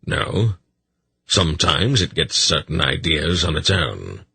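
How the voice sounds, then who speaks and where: A man voicing a terse, practical old sorcerer in a fantasy audiobook, brisk and matter-of-fact. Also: rumbling low, sing-song, creaky, sharp tone, slightly rough, dry recording, full character voice.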